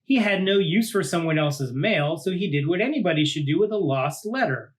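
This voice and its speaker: A man reading a children's picture book aloud like a teacher, with deliberate dramatic phrasing and drawn-out words. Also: on the high side, lively intonation. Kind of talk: animated storybook read-aloud for children.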